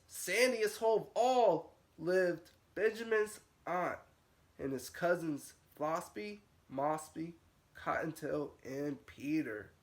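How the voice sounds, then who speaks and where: A man reading a storybook aloud to young children as a nursery teacher, with a sing-song delivery that rises and falls. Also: high-pitched.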